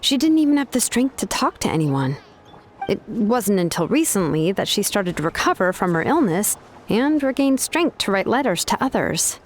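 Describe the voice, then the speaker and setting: A woman's voice: mid-range voice, very animated, moderate pace, a little hiss or hum, close to an everyday voice. An actress voicing a laid-back, practical young woman in an anime video game, explaining things casually with small hesitations.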